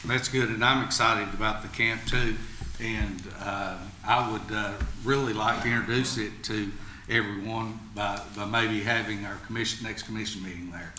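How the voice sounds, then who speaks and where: A man's voice: fairly low pitch, steady tone, moderate pace, bright, forceful tone. A man chairing a public meeting, speaking as the head of a commission. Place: indoor public meeting room. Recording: echoey meeting room with clear background noise.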